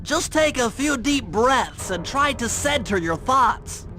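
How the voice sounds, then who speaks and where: Very high-pitched brainy sidekick. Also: male voice, lively intonation, takes it slowly, pushed and bright, heavily acted. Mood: focused. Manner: precise, earnest, explanatory. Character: brainy, cautious male animal sidekick, planner and hacker. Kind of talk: cartoon video game voice acting. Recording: music behind the voice.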